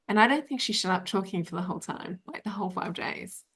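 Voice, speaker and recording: A woman's voice: medium pitch, quick pace, fairly bright tone. A middle-aged woman hosting an interview, talking in a chatty, rambling way with many fillers. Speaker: podcast host. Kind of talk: casual interview chat.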